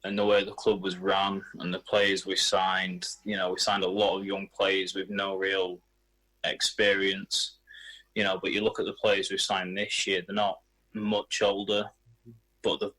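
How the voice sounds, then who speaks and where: A man's voice: low-pitched, completely flat delivery, measured pace, sharp tone, low-quality recording. A young man answering casual sports interview questions as a footballer. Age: young adult.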